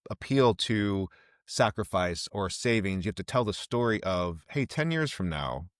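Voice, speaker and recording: A man with a slightly low voice speaks as a podcast interviewer. His delivery is casual and conversational. The recording is dry, free of room sound. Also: expressive, unhurried, no echo.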